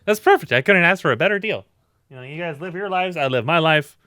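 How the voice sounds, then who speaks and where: Blunt, loud-voiced man, dry close mic. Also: fairly high pitch, lively intonation, quick pace, no room sound. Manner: blunt, swears often, rambling. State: very tired from lack of sleep. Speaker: podcast co-host. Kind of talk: casual podcast chat.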